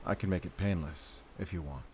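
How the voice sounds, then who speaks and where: Man playing a low-voiced, weary wolf hunter. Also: brisk, darkish tone, slight background noise, close to an everyday voice. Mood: grave, gentle. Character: weary, compassionate male lifelong wolf hunter. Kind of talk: cinematic audio roleplay acting.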